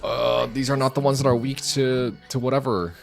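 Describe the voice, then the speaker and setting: A man's voice: mid-range voice, little pitch movement, moderate pace, vocal fry. A man streaming a game with casual, joking commentary, sometimes crude, talking to himself and reading text aloud. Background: video game music and dialogue.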